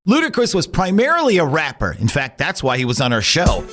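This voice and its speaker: A male radio host telling a story on his podcast with emphatic, drawn-out delivery. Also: fairly high pitch, wildly swooping pitch, a bit bright. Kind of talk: storytelling narration. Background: background music.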